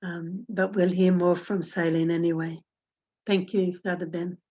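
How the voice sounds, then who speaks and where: A woman's voice: fairly low pitch, little pitch movement, a little slow, dark tone. A woman introducing speakers in an online session, talking hesitantly over a video call. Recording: dull lo-fi video call audio.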